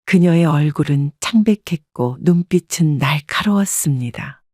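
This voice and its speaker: A woman narrating a first-person family story in a measured, subdued reading. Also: deep voice, lively intonation, darkish tone, dry recording, clean studio sound.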